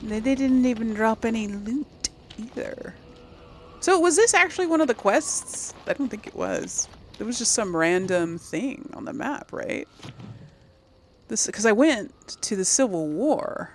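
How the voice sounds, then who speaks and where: A woman's voice: on the high side, lively intonation, a little slow, a little hiss or hum. A woman doing casual let's play commentary, thinking aloud and talking to the game's characters. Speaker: gaming video host.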